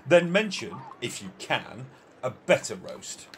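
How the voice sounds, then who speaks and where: A man playing a peevish, competitive older gentleman in an amateur radio play, with a deliberate, emphatic, easily exasperated manner. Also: mid-range voice, sing-song, slight background noise. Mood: indignant.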